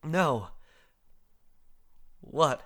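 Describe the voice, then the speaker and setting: An actor playing a frightened young man in an audio drama, stammering and pleading haltingly. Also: medium pitch, animated, fairly bright tone. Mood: scared, faltering. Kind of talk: scripted audio drama acting. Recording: roomy echo, music behind.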